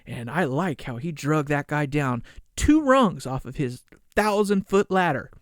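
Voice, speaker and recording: Man voicing a smug male fan, drawn out and hushed. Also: fairly high pitch, lively intonation, a little slow, no echo, studio quality.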